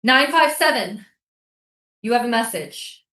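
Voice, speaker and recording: A woman playing a conflicted, defiant prison guard in a theatrical staged reading, earnest and measured. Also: on the high side, animated, bright, forceful tone. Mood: earnest, troubled. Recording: echoey stage, distant microphone.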